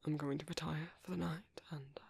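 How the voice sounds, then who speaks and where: Gentle, reserved vampire priest, acted out by an ASMR performer: British, softly breathy and halting, even-toned, gravelly at the edges. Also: mid-range voice, dry recording. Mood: gentle, reassuring. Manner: soft, hesitant, breathy murmuring. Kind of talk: soft ASMR roleplay.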